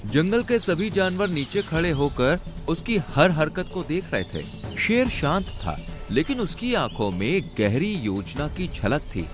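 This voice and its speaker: Male narrator for a kids' animal cartoon; expressive, scripted storytelling over faint background music. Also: a little high, slow pace, few pauses.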